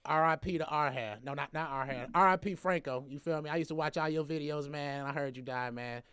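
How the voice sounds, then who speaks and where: A man hosting a podcast in casual, slangy chat, excitable and often repeating himself. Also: a little high, a little fast, clean tone, dry recording, studio quality. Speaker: podcast host.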